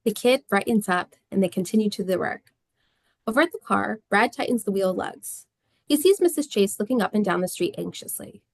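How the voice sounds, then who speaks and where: A woman narrates stage directions from a script. Her voice sits at a medium pitch, recorded dry with little room sound. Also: lively intonation, brisk, gravelly, dry recording. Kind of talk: scripted narration at a table read.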